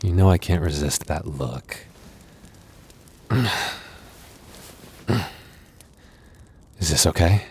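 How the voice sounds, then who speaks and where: A man voicing a tender, bashful young werewolf boyfriend in an intimate ASMR roleplay, halting and stammering, with breathy little laughs and sighs. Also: deep voice, darkish tone, slight background noise. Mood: flustered, affectionate.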